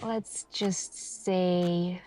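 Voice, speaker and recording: Woman acting a very slow, menacing female detective. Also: lowish voice, steady tone, warm tone, a little hiss or hum, low-quality recording. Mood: accusing, knowing. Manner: deliberate, measured, insinuating, with pointed pauses. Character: suspicious, menacing female police detective interrogating a suspect. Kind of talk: slow ASMR roleplay interrogation.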